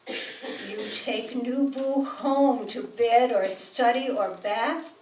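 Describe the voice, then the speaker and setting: A woman poet whose first language is German reads her work at a very slow, deliberate pace, pausing between phrases. Her voice sits in the middle of the range. It is picked up from across a roomy space, with faint noise underneath. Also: a little high, darkish tone, recorded from a distance. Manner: slow, measured delivery with pauses. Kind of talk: poetry reading at a live event. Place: bookstore reading room with an audience.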